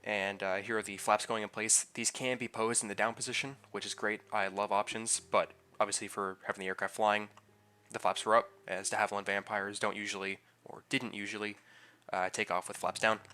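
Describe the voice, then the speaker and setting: A man's voice: lowish voice, little pitch movement, a little fast, bright, forceful tone, clear, resonant tone. A man narrating his model build in a casual voice-over. He sounds a bit nasal and congested from a head cold and talks loosely, with many fillers and restarts. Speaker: scale model builder and channel host.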